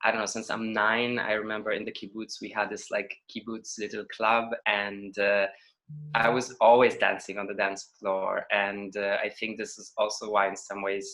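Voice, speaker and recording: Bright-voiced, low-pitched Israeli man, reflecting. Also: completely flat delivery, normal speed, rough recording. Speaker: interview guest, choreographer and dancer. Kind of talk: reflective interview talk.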